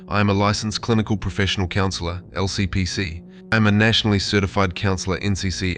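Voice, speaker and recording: A man's voice: sits low, fairly bright tone, slight background noise. A man voicing an AI chatbot that poses as a licensed therapist, formal and matter-of-fact. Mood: formal introduction. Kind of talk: reading out chatbot messages.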